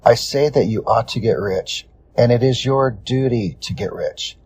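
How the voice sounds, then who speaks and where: A man reading a scripted introduction in the measured style of an audiobook narrator. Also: mid-range voice, a little mellow, slight background noise.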